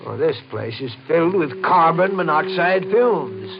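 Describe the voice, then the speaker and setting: An actor playing a curt, money-minded real estate agent in old-time radio mystery style, skeptical in manner. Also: male voice, a little high, animated, a little slow, music in the background. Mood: urgent. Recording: noisy, dull old radio recording.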